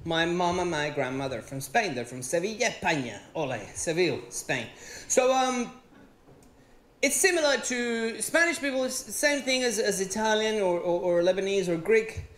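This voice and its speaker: Man, stand-up comic, animated and profane, echoey theater. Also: high voice, normal speed. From Venezuela. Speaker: stand-up comedian. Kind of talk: stand-up routine. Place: theater stage. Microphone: handheld mic.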